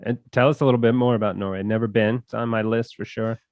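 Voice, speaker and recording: A man's voice: medium pitch, fairly even intonation, fast, darkish tone, dry recording. Male podcast host, casual delivery. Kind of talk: casual podcast interview and intro.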